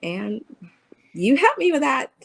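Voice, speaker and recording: A woman in her fifties, a guest in an interview, telling her own story in an earnest, halting way with many pauses and fillers. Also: sits high, big pitch swings, gravelly, a bit bright, husky. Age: 50s.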